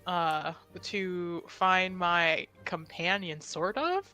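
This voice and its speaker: A woman plays a tabletop roleplaying game in a casual way. Her voice sits fairly low and moves slowly, over faint background music. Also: bright, forceful tone, clean tone. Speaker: player.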